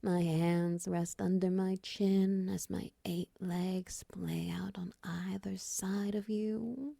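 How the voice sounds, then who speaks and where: A seductive, doting spider woman played by a female voice actor for a romance audio roleplay. Her voice is very dark and soft, lowish and breathy, slipping often toward a whisper. She coaxes slowly and teasingly, right up close on a dry mic. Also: little pitch movement, no room sound, heavily acted. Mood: flirty, teasing. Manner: slow, coaxing, teasing endearments. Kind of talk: intimate ASMR-style romance audio roleplay.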